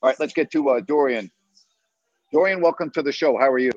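A man's voice: mid-range voice, brisk, dry recording, lo-fi sound. A man hosting a sports call-in show, upbeat and agreeable as he chats with callers. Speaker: radio sports talk host. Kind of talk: casual call-in chat.

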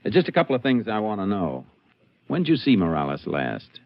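An actor playing a steady, plainspoken Texas Ranger in a 1950s radio western, questioning tersely and matter-of-factly. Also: male voice, on the low side, fairly quick, creaky, a little hiss or hum, exaggerated voice. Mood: pressing, suspicious. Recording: muffled 1950s radio recording.

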